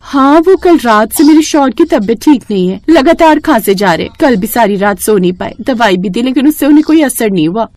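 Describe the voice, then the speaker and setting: A woman acts out a gentle, caring wife in a storybook tale. She sounds earnest and polite, with an expressive, moving pitch. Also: fairly quick, hardly any pauses, lightly acted. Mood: worried.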